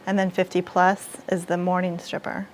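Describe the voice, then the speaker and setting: Deadpan Midwestern woman, dry quips. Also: fairly low pitch, moderate pace, slight background noise, poor audio. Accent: Midwestern American. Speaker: co-host, sister of host. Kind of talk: casual comedic chat.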